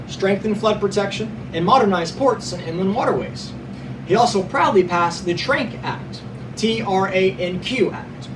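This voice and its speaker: A young male podcast host reading prepared news notes, sometimes stumbling and correcting himself, with casual asides to listeners. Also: high voice. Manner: reads from notes, stumbles and corrects himself, stresses being unbiased. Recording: distant mic with clear background noise.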